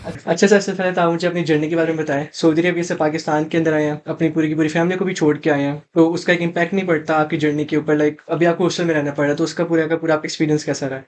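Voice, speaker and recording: A man's voice: a little high, flat delivery, racing, hardly any pauses. A man with a Pakistani accent working as an interview host, asking questions and switching between Urdu and English. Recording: clear background ambient noise.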